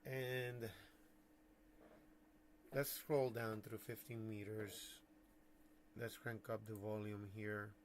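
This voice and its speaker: A man giving casual livestream commentary as a radio hobbyist, thinking aloud in halting phrases. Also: lowish voice, level tone, a little hiss or hum. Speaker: amateur radio operator, livestream host.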